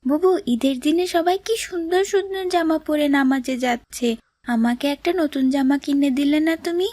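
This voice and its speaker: A woman voicing an innocent, excitable little boy, a poor younger brother in a cartoon, with a pleading manner. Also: high-pitched, normal speed, no room sound, clean studio sound, lightly acted. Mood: hopeful. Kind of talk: cartoon drama voice acting.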